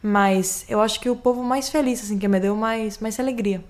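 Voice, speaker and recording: A woman's voice: medium pitch, fairly even intonation, normal speed. A Venezuelan woman, a native Spanish speaker, talking about her life in a radio interview with a strong Spanish accent, often restarting her sentences. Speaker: singer-songwriter guest. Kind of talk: radio interview, casual chat.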